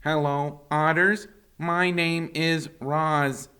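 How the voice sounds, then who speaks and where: Polite storybook robot lady, played by a man, raised pitch, stilted and slow, projecting loudly to wild creatures. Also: steady tone, clear, resonant tone, exaggerated voice. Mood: friendly, formal. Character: polite female robot greeting wild animals.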